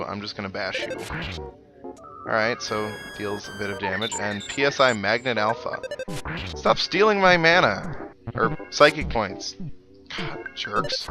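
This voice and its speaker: A man's voice: fairly low pitch, moderate pace. A man giving casual video game commentary in a wry, sarcastic, mock-exasperated way. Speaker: host of a video game playthrough. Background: video game music.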